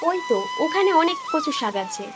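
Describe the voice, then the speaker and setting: A woman's voice: extremely high pitch, huge pitch swings, brisk, exaggerated voice. A woman voicing a poor, honest village wife in a cartoon, speaking earnestly and plaintively. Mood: caring, determined. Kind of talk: cartoon character dialogue. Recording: music behind the voice.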